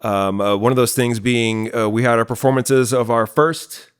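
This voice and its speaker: A man's voice: medium pitch, fairly even intonation, normal speed, clear, resonant tone. An American man chatting casually as he hosts and introduces a podcast. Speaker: podcast host and producer.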